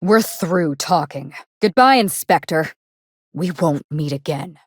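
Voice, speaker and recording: A woman playing a vengeful, theatrical actress turned assassin in a video game, speaking in a dramatic, deliberate and menacing way. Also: low voice, animated, husky, no echo, clean studio sound, heavily acted. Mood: cold, vengeful menace. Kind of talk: scripted game dialogue.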